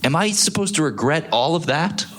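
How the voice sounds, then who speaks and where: A man's voice: on the high side, lively intonation, moderate pace, fairly bright tone. A man playing a tentative, defensive, guilt-laden husband in a live radio play, hesitant and pleading. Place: live on a theatre stage.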